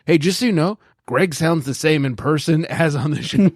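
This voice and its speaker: A man's voice: on the high side, moderate pace, no room sound, clean studio sound. A man chatting as a podcast guest, laughing often and acting out quoted voices. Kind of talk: casual chat.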